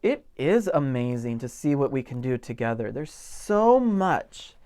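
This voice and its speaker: Slow-paced man reading a children's story. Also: medium pitch. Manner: gentle, expressive, stretches key words for emphasis. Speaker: pastor reading a children's picture book aloud. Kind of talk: expressive storytime reading with simple asides to children.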